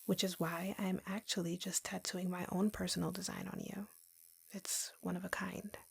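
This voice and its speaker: A woman playing an obsessively lovesick young tattoo artist in an intimate roleplay audio, whispering gently with sweet, possessive menace. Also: fairly low pitch, little pitch movement, moderate pace, heavily acted. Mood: sweetly menacing. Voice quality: breathy, whispery, creaky.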